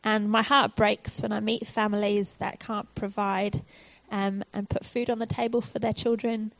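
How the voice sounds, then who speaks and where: A woman's voice: mid-range voice, vocal fry, no echo, dull audio. A woman from the United Kingdom with a British accent, a charity guest speaker giving a friendly, earnest church talk with frequent ums.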